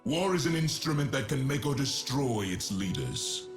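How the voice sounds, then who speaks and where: A man's voice: mid-range voice, animated, normal speed, close to an everyday voice. Guy doing a solemn stern game warrior, echoey voice, music playing under. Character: stern, solemn male warrior warning invaders. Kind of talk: video game character voice acting. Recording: roomy echo, music behind.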